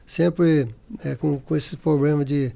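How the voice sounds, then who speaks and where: A Brazilian man in his sixties, a former footballer, being interviewed in casual talk, halting, with many restarts. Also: on the high side, steady tone, vocal fry, mellow. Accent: Brazilian Portuguese.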